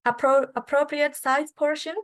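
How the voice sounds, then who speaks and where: A woman's voice: sits high, steady tone. Woman presenting with hesitant fillers. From Indonesia. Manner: halting, many fillers and restarts. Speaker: student association speaker. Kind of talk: conference presentation.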